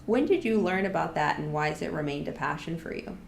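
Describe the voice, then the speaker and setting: A woman's voice: low-pitched, fairly quick, creaky. A woman podcast host asking friendly, affirming interview questions. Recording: echoey room with faint background noise.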